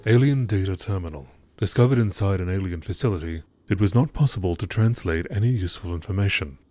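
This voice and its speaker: A man reading scripted science-fiction encyclopedia entries in a measured, formal nature documentary style. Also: sits low, mellow, a little hiss or hum. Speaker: narrator reading game lore entries.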